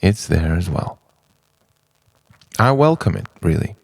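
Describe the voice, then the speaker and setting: A man delivering an introspective, poetic spoken-word monologue in deliberate, halting phrases with dramatic pauses, his voice gravelly and sometimes sinking toward a whisper. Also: deep voice, very animated, no room sound.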